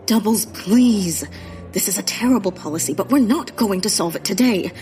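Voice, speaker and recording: A woman's voice: medium pitch, moderate pace, dark tone, soft background music, lightly acted. An actress playing a determined, no-nonsense woman in a comedic period audio drama, forthright and impatient. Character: determined, no-nonsense young Gilded Age woman.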